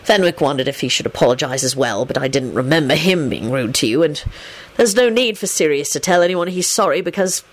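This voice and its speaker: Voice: mid-range voice, animated, brisk, lightly acted. A storybook reader voicing a mild-mannered young male soldier who speaks courteously and earnestly, a little awkwardly. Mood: sheepish.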